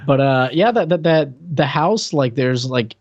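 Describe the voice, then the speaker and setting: A man co-hosting a podcast, chatting casually with halting, repetitive, slangy phrasing. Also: mid-range voice, expressive, no echo, dull audio. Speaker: podcast co-host. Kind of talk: casual chat about a reality TV show.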